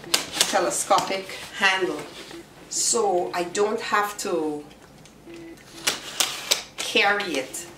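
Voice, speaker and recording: A middle-aged woman with a slightly raspy voice from a recent virus, chatting casually as she shows what she carries to work. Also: on the low side, a bit bright. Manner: chatty, unhurried, explains each item. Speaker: vlogger. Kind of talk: casual show-and-tell vlog. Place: small room indoors. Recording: roomy, distant camera sound with faint hiss.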